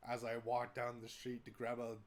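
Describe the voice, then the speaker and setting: A congested young man from the United States, stuffy from a cold. He talks to the camera in a loose, rambling way, with plenty of ums, uhs and restarts, and his pitch sits in an ordinary middle range. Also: completely flat delivery, moderate pace. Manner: rambling, many fillers and restarts. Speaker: vlogger. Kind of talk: casual update talk to camera.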